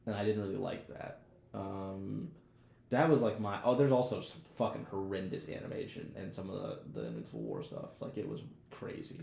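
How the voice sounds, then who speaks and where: Man, lowish gravelly voice, mellow tone, casual slangy podcast chat, profane, roomy echo. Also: animated, moderate pace. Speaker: podcast co-host. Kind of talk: casual chat, TV show discussion.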